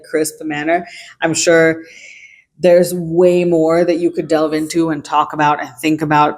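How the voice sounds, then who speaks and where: A young adult who grew up in China, giving a casual, earnest book review on video with frequent hedges and restarts. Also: mid-range voice.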